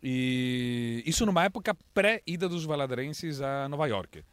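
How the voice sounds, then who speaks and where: A Brazilian man, an international correspondent, who speaks with a mixed accent and tells stories with lively swings in pitch. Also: moderate pace, no echo. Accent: mixed Brazilian Portuguese. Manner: animated storyteller, lively pitch jumps. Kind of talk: casual interview chat.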